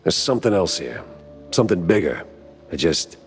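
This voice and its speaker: A man with an American accent voicing a world-weary, stubborn mouse private eye in a cartoon noir game, gruff and sardonic. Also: sing-song, normal speed, faint music behind, full character voice. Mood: brooding. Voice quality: deep, husky.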